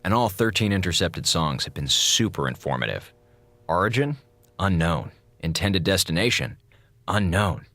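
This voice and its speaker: An actor playing a wisecracking, insubordinate American communications officer on a space station in a radio drama, with a glib, theatrical manner. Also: male voice, deep voice, lively intonation, normal speed, pushed and bright, no echo, exaggerated voice. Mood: dry, mock calm. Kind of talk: radio drama log narration and comic dialogue.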